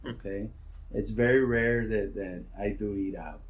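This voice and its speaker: A middle-aged man with a naturally loud voice, a school principal answering interview questions in a relaxed, rambling way. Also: on the low side, a little slow.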